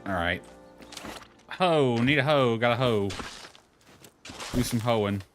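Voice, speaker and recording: A man's voice: mid-range voice, slow pace, soft background music. A man chatting casually on a gameplay livestream, thinking aloud as he plays. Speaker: game streamer. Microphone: headset.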